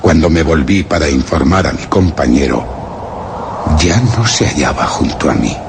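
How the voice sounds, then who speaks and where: A man playing a solemn, anguished explorer who narrates a horror radio play in grave, measured, literary phrases. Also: very deep voice, background noise, exaggerated voice. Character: solemn, anguished male explorer recounting grim discoveries. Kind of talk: dramatic radio play narration, first-person horror tale. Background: music.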